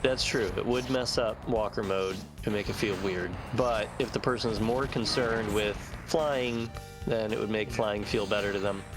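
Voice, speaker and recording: A man's voice: fairly low pitch, fairly even intonation, moderate pace. A man chatting casually and explanatorily as a member of a game translation team, in a conversational way. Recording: music playing behind the voice. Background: music.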